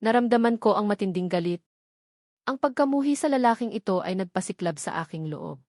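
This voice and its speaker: A woman narrates a dramatic story in the first person from a script. Her pitch sits in the middle of the female range, and the recording is dry, with hardly any room sound. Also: expressive, fairly quick, darkish tone, no echo.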